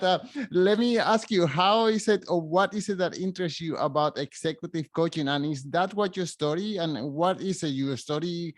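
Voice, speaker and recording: A man with a somewhat high voice, a native Spanish speaker, hosts a podcast and puts questions to his guest. He talks in a friendly, halting way, with fillers as he gathers his thoughts. The recording is dry and close. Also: no echo. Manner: friendly, hesitant, uses fillers. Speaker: podcast host. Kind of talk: interview hosting.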